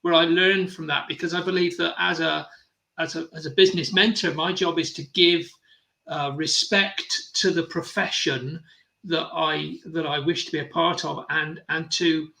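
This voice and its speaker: A man's voice: on the high side, fairly even intonation, moderate pace, vocal fry, sharp tone, rough recording. A man hosting a podcast interview, chatty and encouraging toward his guest. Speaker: podcast host, business mentor.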